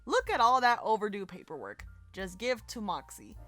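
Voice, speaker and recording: A woman's voice: mid-range voice, very animated, moderate pace, fairly bright tone, soft background music. A woman co-hosting a fan breakdown, giving recap commentary in a playful, jokey way. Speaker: co-host of a fan breakdown show.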